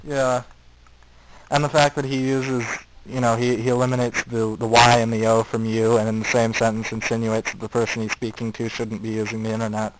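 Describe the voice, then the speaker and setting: A man's voice: medium pitch, completely flat delivery, a little fast, low-quality recording. A man co-hosting a casual chat, teasing his partner with dry sarcasm. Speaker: co-host.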